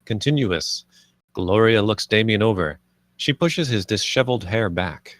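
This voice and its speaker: A man's voice: lowish voice, expressive, a little slow, dry recording, studio quality. A man reading scripted stage directions as the narrator of a live screenplay table read.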